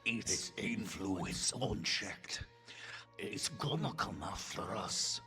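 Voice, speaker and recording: A man's voice: on the low side, animated, slow pace, over music. A man voicing a desperate, upset game character in an amateur imitation, drawing out his warnings. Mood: hushed dread.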